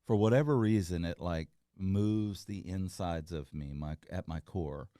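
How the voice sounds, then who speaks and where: Middle-aged man, very deep voice, dry sound, earnest rambling guest. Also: measured pace, warm tone, no room sound. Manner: earnest, rambling, many fillers and restarts. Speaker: guest, entrepreneur. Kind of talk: casual interview chat.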